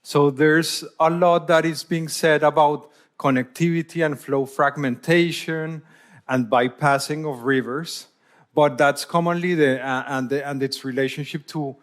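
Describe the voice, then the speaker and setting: Man, fairly high voice, conference talk, halting, repeats words. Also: fairly even intonation. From Colombia. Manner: halting, often repeats words while searching for phrasing. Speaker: hydrologist presenting research. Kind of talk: conference presentation.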